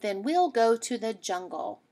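A woman's voice: fairly high pitch, big pitch swings, a little slow, warm tone. A woman voicing a young boy rabbit in a read-aloud story, lightly lifting her voice for his eager ideas.

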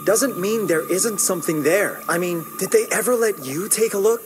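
High-voiced, earnest young inventor played by a man. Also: moderate pace, soft background music, lightly acted. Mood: hopeful. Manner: earnest, deferential, persuasive. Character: earnest, hopeful young male inventor, loyal and polite. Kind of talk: video game cutscene acting.

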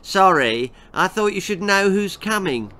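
A nasty, smug young girl from a children's storybook, as an older man acts her out. The voice is pushed high and swings around with loud, goading relish. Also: lively intonation, measured pace, full character voice. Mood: smug cruel. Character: mean, taunting young girl, spiteful spoilsport.

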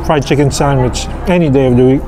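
A man in his thirties reviewing restaurant food in a casual, halting way, full of fillers, on an outdoor patio with a camera on the table. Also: medium pitch, crowd noise. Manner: slow, relaxed, halting with many fillers. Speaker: food vlogger. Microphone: camera on table across from him.

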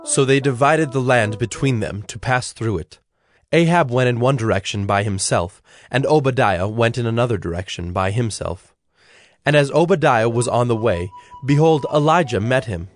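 A man narrating scripture from a script in a measured, solemn way. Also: mid-range voice, no room sound. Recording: music under the voice.

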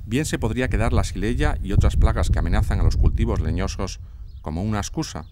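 Low-voiced male reporter from a noisy report. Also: quick pace. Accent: Spain. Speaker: reporter narrator. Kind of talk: scripted TV magazine narration.

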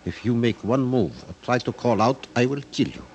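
An actor playing a desperate, menacing Mexican killer on the run, with a Mexican Spanish accent and clipped threats, in old radio drama style. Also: male voice, medium pitch, normal speed, a little mellow, lo-fi sound, close to an everyday voice. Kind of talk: 1950s radio drama acting. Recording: noisy old radio recording, water sounds.

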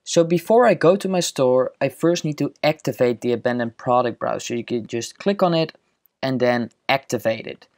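A man walking through a software setup step by step in a screen-recorded tutorial, steady and explanatory. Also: medium pitch, normal speed.

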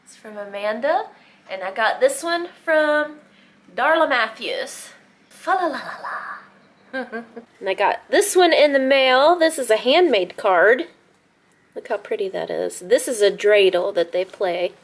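A woman's voice: fairly high pitch, animated, unhurried. A woman vlogging at home, chatting casually while she holds up greeting cards and reads them aloud into a handheld camera. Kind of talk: casual vlog narration.

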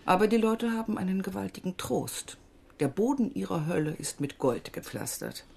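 An actress playing a weary, evasive middle-aged aid organisation manager in a German radio crime drama, husky and world-weary. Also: female voice, mid-range voice, big pitch swings, moderate pace, mellow, a little hiss or hum. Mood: bitter, weary.

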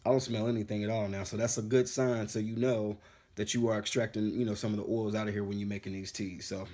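A man's voice: on the low side, flat delivery, fast talker, mellow. A man in his forties making tea in his home kitchen, talking in a loose, rambling way to a phone camera, slightly congested. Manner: laid-back, rambling, says "man" and "bad boy" a lot. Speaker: home cook vlogger. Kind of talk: casual how-to vlog.

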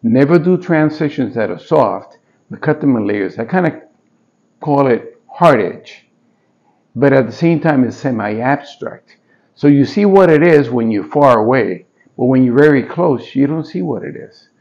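A man's voice: mid-range voice, animated, measured pace, vocal fry, a little mellow, highs cut off. A man in his sixties, from Puerto Rico, with a Spanish-tinged New York accent, giving a friendly, hesitant gallery talk about his paintings with many fillers. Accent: Puerto Rican Spanish-tinged New York. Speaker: artist. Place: small indoor gallery with stone walls.

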